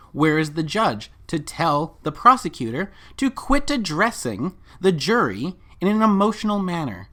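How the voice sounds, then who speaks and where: Commentator, animated pitch swings, deliberate phrasing, scripted delivery, rhetorical questions. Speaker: commentator and narrator. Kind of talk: scripted video commentary with document reading.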